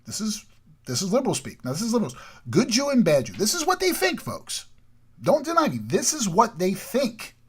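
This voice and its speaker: A man delivering an emphatic, opinionated political monologue, from the United States, repeating points and swinging between loud and quiet. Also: high voice, wildly swooping pitch, a little fast, breathy edge. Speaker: political commentary host.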